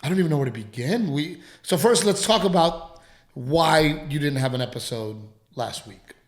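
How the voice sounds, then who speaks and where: A man co-hosting a casual podcast at home, talking in halting, rambling runs, with a lingering dry cough. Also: on the high side, expressive, echoey room. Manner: rambling, halting, full of restarts. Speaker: podcast co-host, comedian. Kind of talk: casual chat.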